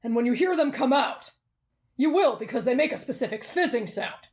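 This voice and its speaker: A woman voicing a brash, bossy young male warrior in an anime fan roleplay, blunt and gruff in manner. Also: sits high, lively intonation, brisk, darkish tone. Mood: brash, stubborn.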